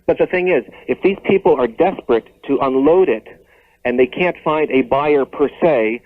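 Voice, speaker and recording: A man's voice: medium pitch, normal speed, no echo. A man calling in to a radio show, chatting casually on the telephone. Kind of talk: casual phone call. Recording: tinny phone line on radio.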